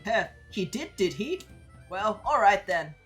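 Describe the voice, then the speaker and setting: A woman's voice: mid-range voice, very animated, a little slow, fairly bright tone, faint music behind. A woman voicing a friendly older male carpenter who talks in a homespun drawl, with "howdy" and "ain't". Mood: folksy.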